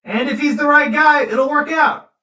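A serene, high-pitched believer in fate in a video game. The voice sits away from the mic in an echoing room. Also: female voice, normal speed, pushed and bright. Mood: serene, certain. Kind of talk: video game dialogue acting. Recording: roomy, distant from the mic.

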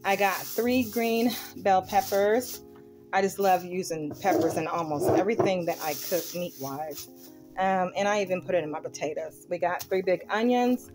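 Low-voiced woman chatting through a grocery haul. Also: moderate pace. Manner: informal, colloquial grammar, itemizing. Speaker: vlogger showing a grocery haul. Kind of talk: casual chat to viewers, product show-and-tell. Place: home kitchen. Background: music.

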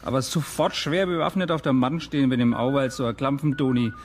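A man's voice: mid-range voice, steady tone, quick pace, close to an everyday voice. An actor playing a sarcastic, griping policeman with a Franconian accent in a naturalistic radio drama. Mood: dry sarcasm. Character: sarcastic Franconian policeman grumbling about local folklore.

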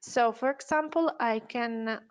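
Female software trainer, Italian accent, fairly high voice, unhurried and hesitant, explaining step by step. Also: smooth. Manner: hesitant, unscripted explaining with self-corrections. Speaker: webinar presenter, software trainer.

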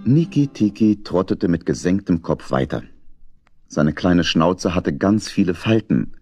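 Deep, husky male storyteller. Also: dull audio, soft background music. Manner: expressive, measured storytelling. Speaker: narrator. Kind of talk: scripted children's story narration.

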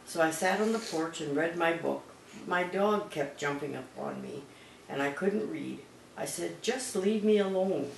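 Gravelly older grandmother reading, roomy and distant. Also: low-pitched, unhurried. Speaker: grandmother reading aloud to children. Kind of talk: read-aloud of a children's picture book. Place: small living room at home, on a couch. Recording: roomy, distant mic, background noise.

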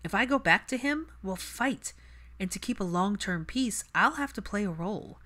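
A woman's voice: medium pitch, expressive, normal speed, sharp tone, voice pushed up. A woman acting Hermione, an impassioned, stubborn daughter, voice raised. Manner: emphatic, argumentative. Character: Hermione, stubborn, impassioned adult daughter standing her ground.

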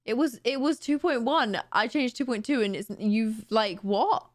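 A young woman streaming from her room late at night, tired and a little raspy, chatting casually and thanking viewers on a close studio mic. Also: on the high side, moderate pace. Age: young adult. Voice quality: slightly raspy today. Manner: chatty, bubbly, laughs easily. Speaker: live streamer. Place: home streaming room, indoors. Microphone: boom studio mic close to mouth.

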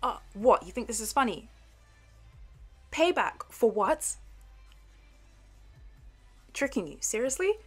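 A woman's voice: a little high, very animated, slow pace, long pauses, slightly rough, soft background music, pitched above the performer's own voice, exaggerated voice. A young woman playing a flustered, playful artsy college girl with a crush, stammering and giggly in an intimate audio roleplay. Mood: playful, mock indignant. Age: young adult.

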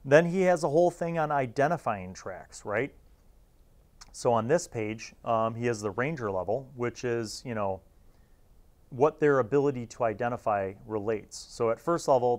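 Male host, forties, average pitch, casual commentary style, conversational with punchy asides, lapel-mic sound. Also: normal speed. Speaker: host of a tabletop gaming review series. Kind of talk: casual review and commentary on a magazine.

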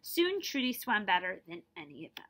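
Bright, animated woman reading a picture book aloud. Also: mid-range voice, brisk. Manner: expressive, emphatic, stretching key words. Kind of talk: picture-book read-aloud with explanatory asides for children. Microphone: device camera at about arm's length.

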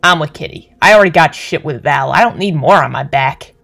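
A woman's voice: deep voice, animated, brisk, creaky, over music. A woman voicing a sassy male spider demon who talks in a brash, sarcastic and profane way. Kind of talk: fan comic dub.

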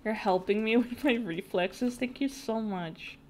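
A young woman streaming a game, chatting casually with her viewers in a nasal voice with vocal fry, giggling often and breaking into excited shrieks. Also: on the high side, unhurried, slight background noise. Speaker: VTuber streamer. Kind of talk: casual gaming stream chat, reading chat aloud.

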